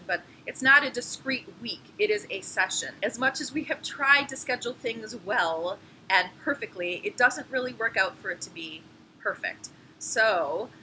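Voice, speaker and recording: Bright-voiced woman professor. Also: a little high, slight background noise, low-quality recording. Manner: friendly, explanatory, laughs now and then. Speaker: anatomy and physiology professor. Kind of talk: course introduction video.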